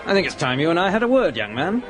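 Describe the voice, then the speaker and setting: An actor playing a cynical, swaggering major turned society rogue in a radio drama, with a sardonic drawl. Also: male voice, a little high, very animated, fast talker, music in the background. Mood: challenging.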